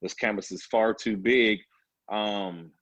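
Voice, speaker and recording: A man's voice: fairly low pitch, fairly even intonation, slow pace, fairly bright tone, husky, no room sound. A man in his thirties, a personal trainer, telling his story as a guest in a casual interview, with many fillers along the way. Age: 30s.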